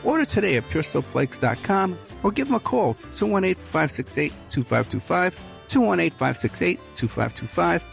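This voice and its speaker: A man's voice: high-pitched, big pitch swings, moderate pace, gravelly, faint music behind. A man hosting a podcast as a private investigator, talking loosely with frequent fillers. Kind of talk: casual podcast hosting and ad reads.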